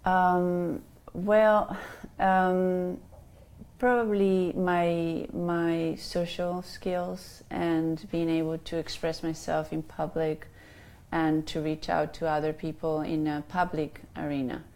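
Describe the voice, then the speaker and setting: A woman's voice: fairly low pitch, flat delivery, slow, darkish tone. A woman in her fifties from Chile with a Chilean Spanish accent, a life coach answering interview questions with many ums and restarts.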